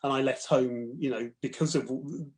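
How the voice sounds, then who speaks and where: A man in his fifties from London speaking personally on a panel, earnest and halting, often restarting his sentences. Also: mid-range voice, fairly even intonation. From born in London. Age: 50s.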